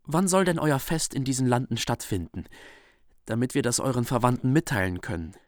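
An actor playing a proud minstrel envoy delivering a formal, persuasive message in a radio play. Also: male voice, mid-range voice, expressive, brisk, no echo, studio quality, natural voice. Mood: courtly. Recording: heavy music underneath.

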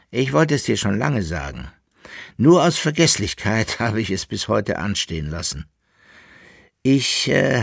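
A man voicing a wry, evasive fiddler who hesitates and feigns calm, in an audiobook story. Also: fairly low pitch, creaky, slightly rough, dry recording, clean studio sound, exaggerated voice. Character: wry, evasive, forgetful male fiddler, resigned yet cheerful.

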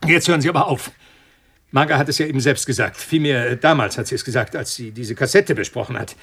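A man's voice: medium pitch, quick pace, fairly bright tone, breathy edge. A man playing an irritated, rattled scientist in a radio drama, impatient and defensive in his replies. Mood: defensive, exasperated. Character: irritated, rattled male scientist defying a threatening caller.

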